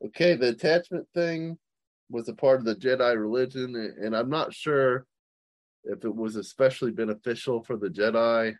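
A man's voice: mid-range voice, moderate pace, no echo. A man co-hosting a casual podcast over an online call, rambling and tentative. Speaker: podcast co-host.

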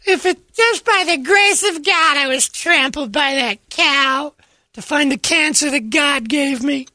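A man voicing a naive, earnestly pious young woman in a high, slow falsetto. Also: bright, forceful tone, dry recording, exaggerated voice.

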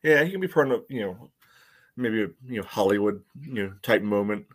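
Man, fairly low voice, casual podcast co-host with halting, conversational delivery. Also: lively intonation. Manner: halting, peppers talk with "you know". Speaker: co-host of a soccer fan podcast. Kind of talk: casual sports chat.

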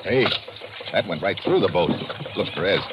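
An actor playing a tough, wry American adventurer, brisk and slangy, in an old radio drama. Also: male voice, low-pitched, expressive, muffled recording. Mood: urgent. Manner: brisk, slangy, take-charge. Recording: noisy old radio recording.

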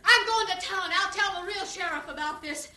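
An actress playing a distraught, defiant rancher's wife in an old radio western drama. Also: female voice, extremely high pitch, moderate pace. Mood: defiant, pleading. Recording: noisy old radio recording.

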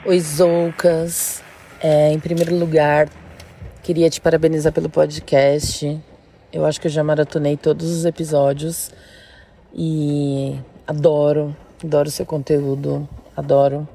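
A woman's voice: low voice, level tone, unhurried, vocal fry, warm tone, a little hiss or hum. A woman in her thirties with a São Paulo state Brazilian accent, chattily telling a personal story as a listener calling in to a show. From interior of São Paulo state, Brazil. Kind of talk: casual spoken storytelling.

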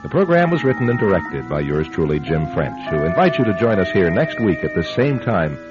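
A man's voice: deep voice, sing-song, brisk. A man giving scripted announcements as host of a radio drama program. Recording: dull band-limited radio recording, music behind.

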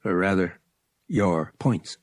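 A man's voice: low voice, animated, slow pace, no echo, studio quality. A man playing a wry, casual young space pilot in an audiobook, with slangy, slightly hesitant talk. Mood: dry.

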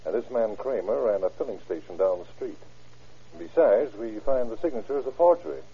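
An actor playing a polite, businesslike bank official with a formal, explanatory manner in an old radio drama. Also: male voice, mid-range voice, animated, brisk, breathy edge. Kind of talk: 1930s-40s radio crime drama acting. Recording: muffled old radio broadcast.

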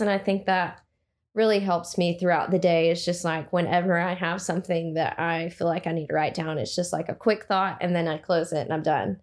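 A young woman with a quiet voice, talking hesitantly with many fillers. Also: lowish voice. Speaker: guest. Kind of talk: casual conversation.

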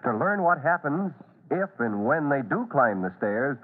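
A man's voice: mid-range voice, big pitch swings, unhurried. A man announcing and narrating a children's adventure serial in old-time radio style. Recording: tinny, narrow-band old radio recording.

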